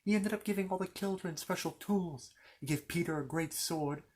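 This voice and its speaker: A man's voice: on the high side, moderate pace. Rambling male ASMR storyteller. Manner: rambling, playful asides to the listener, courteous. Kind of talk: ASMR storytelling, informal retelling of a children's fantasy tale.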